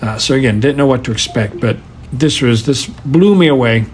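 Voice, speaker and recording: Halting man in a faintly noisy voice message. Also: medium pitch. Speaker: fan contributing a voice message. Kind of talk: casual rambling personal recollection.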